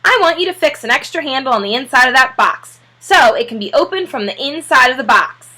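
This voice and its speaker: A woman's voice: high-pitched, expressive, brisk, bright, forceful tone, pitched above the performer's own voice, exaggerated voice. Woman voicing a stubborn, insistent storybook householder. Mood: huffy, firm. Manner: insistent, slightly huffy. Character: stubborn, earnest male householder, insistent and proud.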